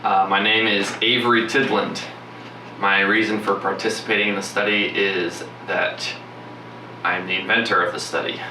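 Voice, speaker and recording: A man's voice: on the low side, flat delivery, normal speed. A young man in his twenties running a homemade study and asking the others plain questions, recorded from a distance in an echoey, noisy room. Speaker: study organizer and interviewer. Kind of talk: casual on-camera interview.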